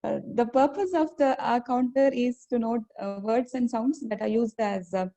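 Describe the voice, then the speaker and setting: A woman's voice: on the high side, warm tone, highs cut off. A woman reading out a filler-word count at an online speaking club meeting, hesitating often. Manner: halting, many ums and uhs, reading out counts. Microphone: video call.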